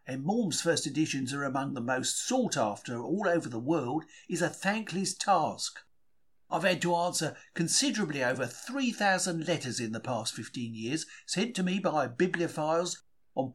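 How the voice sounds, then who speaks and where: A British man with a fairly high voice reads a diary in the first person. His pitch swings in a very lively way, and his telling is wry and colloquial, full of old-fashioned slang. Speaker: reader of a diary for a podcast. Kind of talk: scripted first-person narration, dramatised diary reading.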